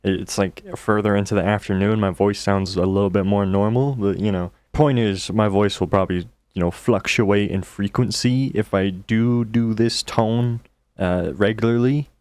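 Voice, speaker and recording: A man hosting a reaction commentary video, talking casually in a deep, monotone voice. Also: normal speed, clear, resonant tone, no room sound. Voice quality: deep and monotone from just waking. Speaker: commentary channel host.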